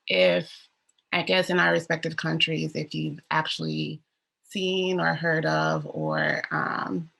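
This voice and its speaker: Low, gravelly young woman moderating. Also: fairly bright tone. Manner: tentative, hedging with fillers. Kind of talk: moderating a panel discussion.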